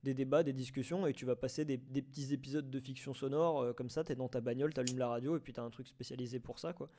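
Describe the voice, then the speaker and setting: A man talking informally in an online debate, haltingly and with repeated phrases. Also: medium pitch, fairly even intonation, dark tone. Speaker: debate participant.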